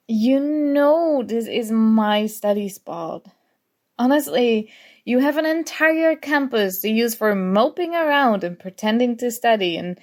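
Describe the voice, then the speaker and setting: A sarcastic young college woman, played by an actress in an ASMR audio roleplay, needles her academic rival. Her voice sits fairly high with a pronounced creak, and she takes her time over each teasing, exasperated jab. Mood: annoyed teasing. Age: young adult. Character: snarky competitive college student, grudgingly fond academic rival.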